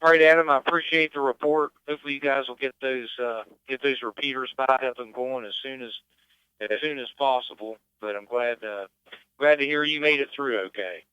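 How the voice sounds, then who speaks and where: Bright, very clear man moderating ham radio. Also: medium pitch, brisk, dry recording. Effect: amateur radio transmission. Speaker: radio net control host. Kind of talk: casual moderating of radio check-ins. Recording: dull band-limited radio audio.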